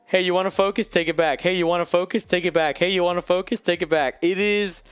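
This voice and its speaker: A man's voice: high-pitched, fairly even intonation, fast, clean tone, no room sound. A man co-hosting a live stream of a tabletop miniatures game, commentating in a chatty way and bantering playfully with viewers. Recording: close dry mic with faint music.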